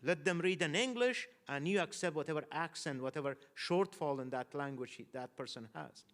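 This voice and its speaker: A man from Afghanistan speaks on a conference panel, thoughtful and hesitant, restarting his sentences often. His voice sits a little higher than most men's. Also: normal speed, a bit bright. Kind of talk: panel discussion.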